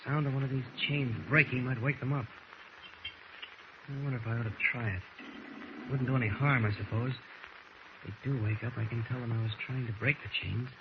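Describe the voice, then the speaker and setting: A man's voice: mid-range voice, steady tone, fairly quick, pauses often, close to an everyday voice. An actor playing a mild, evasive man with a secret identity in an old radio drama. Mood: secretly musing. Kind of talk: 1940s radio acting. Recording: dull, very hissy old radio recording.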